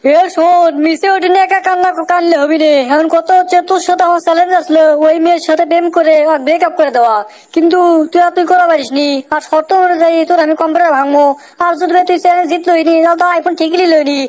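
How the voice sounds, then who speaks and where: Scheming cartoon dad, played by a man, middle-aged, nagging, very high pitched-up voice. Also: steady tone, quick pace, few pauses, darkish tone. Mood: taunting. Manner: nagging, wheedling, colloquial. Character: sly, resentful middle-aged village father, scolding. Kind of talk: comic cartoon dialogue.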